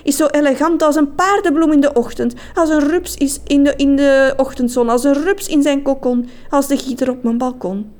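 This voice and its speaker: A woman's voice: high-pitched, steady tone, moderate pace, hardly any pauses, a little mellow, voice pushed up, close to an everyday voice. A woman voicing a lovestruck man who declares his love in grand, clumsy rhymes. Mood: gushing. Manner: grandly poetic, stumbling over rhymes. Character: flowery, courtly man in love, mustached suitor.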